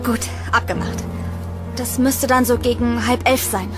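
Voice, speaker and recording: A woman's voice: on the high side, fairly even intonation, unhurried, over music, lightly acted. A woman playing a kind, anxious, trusting young heroine in a horror audio drama, speaking expressively and earnestly. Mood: hopeful.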